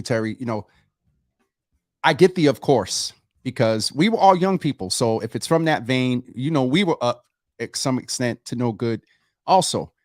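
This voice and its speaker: A man's voice: medium pitch, dry recording, clean studio sound. A man hosting a live talk show, speaking in a familiar, casual way with many fillers, reading out viewer comments.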